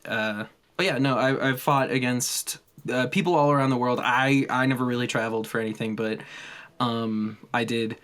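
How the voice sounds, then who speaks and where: A man in casual chat, talking haltingly with many fillers and self-deprecating asides. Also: medium pitch, fairly even intonation, a bit bright.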